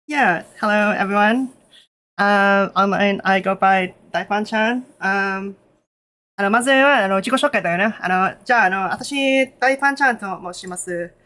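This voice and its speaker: A Canadian woman streaming in a nervous, casual way, with lots of fillers, switching between English and halting Japanese. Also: medium pitch, a bit bright. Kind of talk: casual live stream chat.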